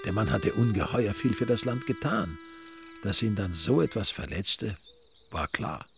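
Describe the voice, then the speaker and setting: Crime radio play narrator: Austrian, deep-set gravelly voice, dry and ironic. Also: male voice, big pitch swings, unhurried, soft background music, full character voice. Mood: dryly ironic. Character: wry, ironic male storyteller of a village crime tale. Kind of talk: scripted radio drama narration.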